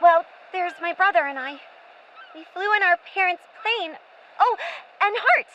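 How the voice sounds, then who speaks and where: A woman's voice: very high-pitched, lively intonation, measured pace, sharp tone, muffled recording, a little hiss or hum, exaggerated voice. A woman playing a bold, trusting young girl adventurer in an audio drama, eager and headstrong. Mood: hopeful.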